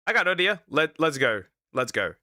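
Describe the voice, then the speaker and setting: A bright-voiced man in his twenties reacts live to a video, excitable and prone to stammering restarts. He fires off sarcastic little quips in a fairly high voice, and the sound is dry and close. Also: brisk, no echo. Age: 20s. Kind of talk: casual live reaction commentary.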